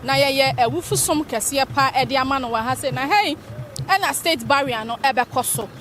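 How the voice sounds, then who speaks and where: Female reporter, Ghanaian accent, high voice, live commentary over faint background noise. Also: moderate pace, a bit bright. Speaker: media reporter.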